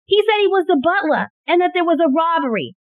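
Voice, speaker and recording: Thin, phone-like audio with no room sound. An actress plays an anxious young woman, a police secretary in an audio drama, eager and urgent in an extremely high voice. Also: very animated, quick pace, dry recording. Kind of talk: audio play acting.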